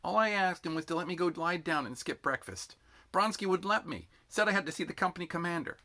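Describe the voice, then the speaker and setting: A man reading a story, voicing a sick, exhausted young male recruit who complains in a bitter, drawn-out, strained whine. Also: high voice, animated, sharp tone, pitched above the performer's own voice. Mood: bitter, miserable.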